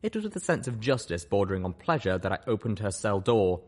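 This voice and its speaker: A man's voice: fairly low pitch, very animated, quick pace, darkish tone. A man reading a scripted first-person story aloud as an audiobook narrator. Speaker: audiobook reader.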